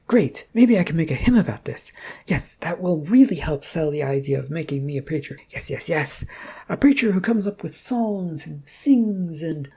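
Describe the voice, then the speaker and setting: Narrator as a scatterbrained, husky, eager bumbler. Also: medium pitch, animated, normal speed, dark tone, voice pushed up. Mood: excited. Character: person magically turned female, scatterbrained, easily excited.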